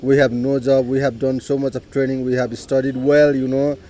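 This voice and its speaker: Man, Nepali accent, middle-aged, warm mellow tone, unhurried storytelling, clears his throat often. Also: medium pitch, level tone. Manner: unhurried, many "you know"s and throat clearing. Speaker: interviewee. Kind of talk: personal life story told in conversation.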